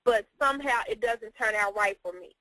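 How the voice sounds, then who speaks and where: Hesitant woman student, slightly high voice. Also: moderate pace, sharp tone, dry recording. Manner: hesitant, unsure, apologetic, rambling. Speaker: student asking for help. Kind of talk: informal question on a class call.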